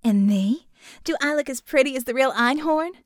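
A woman's voice: high voice, moderate pace, dry recording, studio quality, close to an everyday voice. A woman voicing a vain, eager young college student with an affected, pouting manner, for an audiobook. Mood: coy, fishing.

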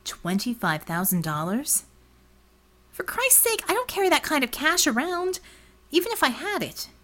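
A woman voicing a sarcastic, easily exasperated woman detective in an audiobook, with a wry and animated delivery. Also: high voice, moderate pace, pitched above the performer's own voice. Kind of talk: audiobook character dialogue.

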